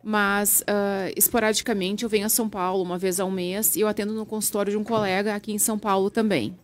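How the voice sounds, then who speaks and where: A woman dermatologist from southern Brazil, guesting on a radio show and calmly explaining skin care. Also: mid-range voice, quick pace, soft background music. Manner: calm, explanatory. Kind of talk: radio interview. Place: radio studio.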